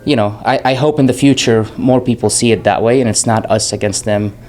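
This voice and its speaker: A man's voice: mid-range voice, steady tone, fairly quick, a little mellow. A man in his thirties from Jordan, a filmmaker speaking candidly in an interview, often restarting his sentences. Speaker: filmmaker guest. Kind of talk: casual interview talk.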